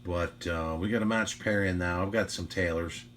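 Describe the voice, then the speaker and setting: A man with a low voice talks casually as a ham radio operator at home. He is chatty, unhurried and modest about himself, with a faint hum behind him. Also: steady tone, a bit bright. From United States. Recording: faint hum and background noise.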